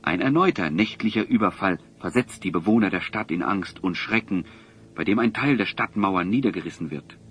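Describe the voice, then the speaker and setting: A man's voice: low voice, lively intonation, moderate pace, fairly bright tone. A man narrating a fantasy audio drama as a solemn storyteller, with dramatic, literary delivery. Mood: solemn, suspenseful. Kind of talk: scripted narration. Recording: dull, faintly noisy audio drama recording.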